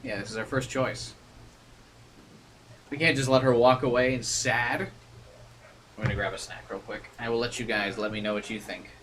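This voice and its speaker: A male game streamer with an average-pitched voice sits a little back from the mic. He moves between offhand chat and reading story text aloud, with a faint layer of background noise. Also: steady tone, normal speed, pauses often, fairly bright tone, recorded from a distance. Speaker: streamer playing a visual novel. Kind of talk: casual commentary mixed with reading narration aloud.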